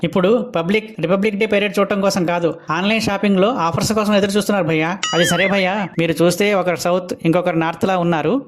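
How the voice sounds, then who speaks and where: Cartoon layabout drunk played by a man: high-pitched, rapid, cheeky and boastful, slangy complaints. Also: background noise, close to an everyday voice. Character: cheeky good-for-nothing Telugu drunkard, boastful and grumbling. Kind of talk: comic cartoon dialogue.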